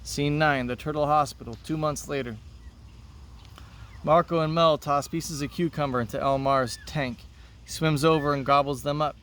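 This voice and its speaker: A man's voice: fairly high pitch, fairly even intonation, normal speed, fairly bright tone, recorded from a distance. A man speaking as a teacher, reading a children's play aloud to his students. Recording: noticeable background noise.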